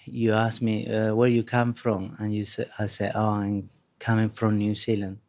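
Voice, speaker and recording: Low, flat-voiced man. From Spain. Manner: earnest, halting, restarts often. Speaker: audience member sharing a testimony.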